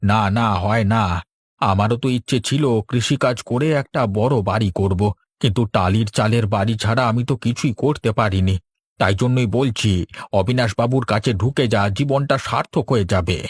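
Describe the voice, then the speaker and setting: A man's voice: on the low side, moderate pace, husky, dry recording, studio quality. A man voicing a bitter, disapproving old farmer father in a cartoon, scolding and nagging. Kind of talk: cartoon dialogue.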